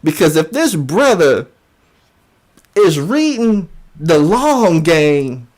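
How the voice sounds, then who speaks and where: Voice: medium pitch, very animated, slow pace, a little mellow. An online commentator delivering a mocking call-out, emphatic and incredulous, often repeating phrases for effect. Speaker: YouTube commentator.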